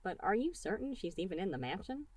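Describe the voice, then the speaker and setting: A woman's voice: medium pitch, big pitch swings, fast talker, a little mellow. A woman voicing a careful, questioning cartoon test tube with a hesitant, reasoning manner. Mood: cautious, doubtful.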